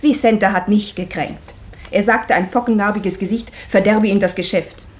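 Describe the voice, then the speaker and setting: An actress playing a blunt, sharp-tongued maid in a German radio play from the 1960s, dry, matter-of-fact and gently mocking. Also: female voice, mid-range voice, fairly even intonation, normal speed, slight background noise, close to an everyday voice. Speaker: Emilia, the maid.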